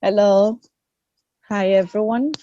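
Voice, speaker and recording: A young woman from Burundi, a student, softly introducing herself in an online meeting. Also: medium pitch, fairly even intonation, takes it slowly, no room sound. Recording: dull dry lo-fi call audio.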